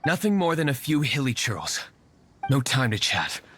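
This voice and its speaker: A man's voice: medium pitch, big pitch swings, normal speed, a bit bright, slight background noise. A man playing a cool, aloof young nobleman vigilante in a video game, with dry, terse, formal delivery. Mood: businesslike. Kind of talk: video game character acting.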